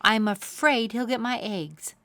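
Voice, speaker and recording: A woman's voice: on the high side, a little slow, bright, forceful tone, no room sound, voice pushed up. A woman voicing a worried female robin in a storybook, speaking in an anxious, emphatic way.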